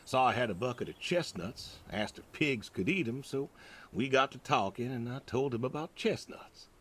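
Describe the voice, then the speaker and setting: A man's voice: mid-range voice, animated, moderate pace, a bit bright, breathy edge. A man playing a stoic, weary older pig farmer and war veteran in a game, laconic and folksy. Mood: fond, easygoing. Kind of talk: naturalistic video game dialogue.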